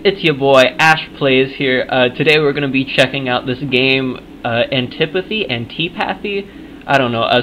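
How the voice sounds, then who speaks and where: A man's voice: medium pitch, pushed and bright, a little hiss or hum, rough recording. A man hosting a gaming video with casual, jokey commentary, often repeating his phrases in mock nervousness.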